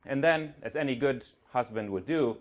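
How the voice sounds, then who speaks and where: A man's voice: mid-range voice, normal speed. Hungarian-accented man giving a conference talk. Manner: earnest, with frequent uh fillers. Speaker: conference speaker. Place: conference venue stage.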